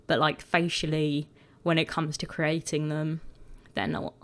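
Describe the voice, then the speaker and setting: A woman with a croaky voice, chatting casually and rambling a bit as she talks over her video. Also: low-pitched, little pitch movement, unhurried, a bit bright. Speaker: gaming video creator.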